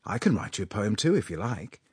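A man's voice: on the low side, sing-song, a little fast, husky, no room sound, studio quality, exaggerated voice. A man playing a self-important writing master and awkward suitor in a story reading. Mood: wooing.

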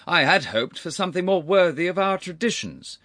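A man's voice: mid-range voice, big pitch swings, normal speed, fairly bright tone, husky, no echo, voice pushed up. A man voicing a haughty, irascible older aristocratic uncle in a storybook reading, stern and pompous, with cutting formal phrasing. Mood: severe, irritated. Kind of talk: audiobook character voice.

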